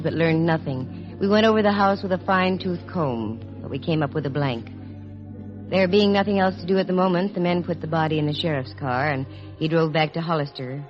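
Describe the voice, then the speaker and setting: A woman's voice: sits low, lightly acted. Woman doing a no-nonsense lady detective narrating, muffled old radio sound, bit of music under it. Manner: brisk, matter-of-fact narration. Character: sharp, composed female detective. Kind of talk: 1940s radio acting. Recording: dull lo-fi vintage radio recording, faint music.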